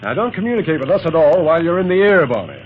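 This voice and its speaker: An actor playing a good-humored, steady secret-agent leader in a 1930s radio serial, amiable and instructive. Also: male voice, fairly high pitch, racing. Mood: earnest instructing. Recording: dull, noisy 1930s radio recording.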